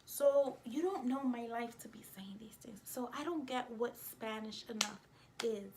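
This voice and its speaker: A woman with a New York accent talking casually to camera as a vlogger, in an emphatic, indignant and expressive way. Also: on the high side, unhurried, slight background noise. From United States.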